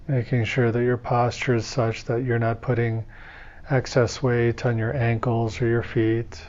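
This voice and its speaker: A man guiding a slow, soothing meditation session, giving gentle step-by-step instructions. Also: medium pitch, little pitch movement, dull audio. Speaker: meditation teacher. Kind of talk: guided meditation.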